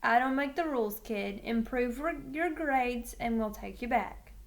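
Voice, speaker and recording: A woman's voice: on the high side, measured pace. Woman playing a blunt, stern football coach. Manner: flat, firm. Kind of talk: children's novel read aloud.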